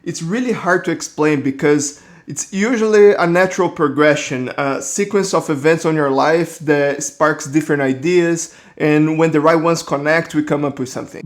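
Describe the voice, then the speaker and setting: A man in his thirties from Brazil with a Brazilian accent, casually telling a rambling personal story as host of his own channel. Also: on the high side. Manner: relaxed, rambling storytelling with restarts. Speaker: creature designer, channel host.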